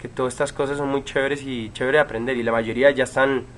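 A man in his twenties, from Chile, casually answering fan questions on a livestream from a room at home, relaxed, with many fillers and pauses. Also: mid-range voice, a little hiss or hum. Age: 20s. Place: home room with bookshelves, indoors. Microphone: webcam.

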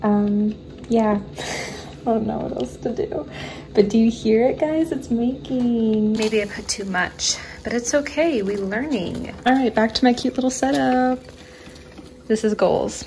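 A young woman chats casually into a phone propped on her kitchen counter late at night, giggling and often sinking to a hushed, near-whispered voice. Her tone is warm and mellow rather than bright. A faint hum of noise sits behind her. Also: mid-range voice. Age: young adult. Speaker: vlogger. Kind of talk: casual cooking vlog chat. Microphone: phone camera on the counter.

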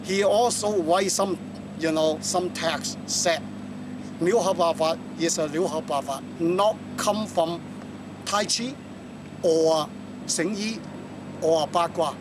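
Middle-aged man with a Cantonese accent. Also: a little high. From Hong Kong. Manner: halting, many fillers, gestures while talking. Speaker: martial arts master. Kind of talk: casual spoken history talk. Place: waterfront promenade outdoors. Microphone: camera in front, mid shot. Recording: outdoor traffic noise.

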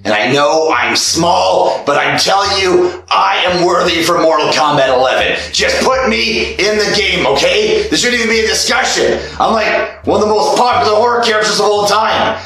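Man as a tiny killer doll, high-pitched and sharp, shouting indignantly; echoey room, faint music. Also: moderate pace, gravelly, few pauses, heavily acted. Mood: insistent. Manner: indignant, shouting, easily insulted. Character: tiny foul-mouthed male killer doll, hot-tempered.

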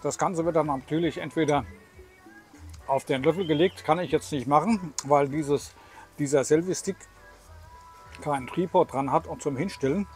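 A man's voice: fairly high pitch, moderate pace, pauses often, soft background music. A man in his sixties from Germany chatting and explaining as he hosts a casual travel vlog. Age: 60s. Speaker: vlog host.

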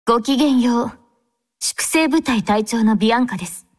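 Anime game role: young female android squad captain, played by a woman, devout, courteous, composed, lightly high-pitched. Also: moderate pace, natural voice. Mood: calm, courteous. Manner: formal, gentle, composed. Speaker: game character voice actor.

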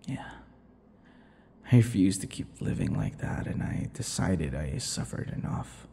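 A brooding, unhinged vampire, acted by a man for an intimate audio roleplay. He speaks low and very slowly in halting, seductive phrases that sink into breathy whispers. Long reverb hangs around every word. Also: animated, a little hiss or hum, heavily acted. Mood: bitter, brooding. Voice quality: breathy, gravelly, often dropping to a whisper. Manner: slow, halting, dramatic pauses and dark chuckles. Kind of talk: intimate ASMR roleplay monologue.

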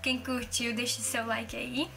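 A woman's voice: fairly high pitch, flat delivery, takes it slowly, gravelly. A woman in her twenties chatting casually through a home cooking vlog, restarting sentences often, in a kitchen on a camera mic. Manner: chatty, hesitant, many restarts and fillers. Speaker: cooking vlogger. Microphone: camera mic at arm's length. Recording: music playing under the voice. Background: background music and clinking dishes.

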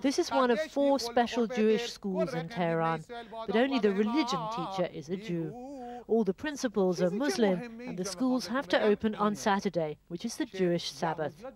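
A woman reporter giving scripted documentary narration in a measured, even way. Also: mid-range voice, crowd noise.

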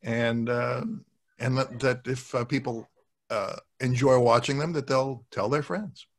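An older man talks as a guest on a casual video call. His voice sits at a middle pitch, and he explains things in a measured, thoughtful way into a desk microphone. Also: fairly even intonation. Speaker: guest, audio drama producer. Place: indoors in a home office, video call. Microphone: desk microphone with pop filter.

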